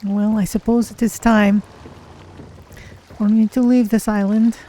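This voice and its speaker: A streamer voicing an earnest, curious female cat-folk adventurer in a fantasy game, with measured, formal phrasing. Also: mid-range voice, little pitch movement, mellow, slight background noise, lightly acted, rough recording. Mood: earnest, polite. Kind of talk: in-character game roleplay narration.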